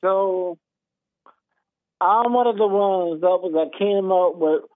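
A man's voice: high voice, takes it slowly, dry recording. A man in his fifties with a Southern US accent, calling into a radio show and arguing insistently, repeating himself. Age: 50s. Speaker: caller. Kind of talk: call-in radio talk. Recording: phone line.

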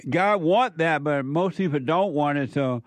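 A man's voice: a little high, measured pace, no room sound. A man hosting a call-in radio show, asking blunt, probing questions. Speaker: radio host.

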